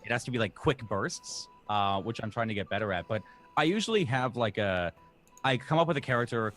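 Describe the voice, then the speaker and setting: A young man who is a guest voice actor and game master, chatting casually in an animated, self-deprecating way. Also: medium pitch, a little fast, soft background music. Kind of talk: casual interview chat.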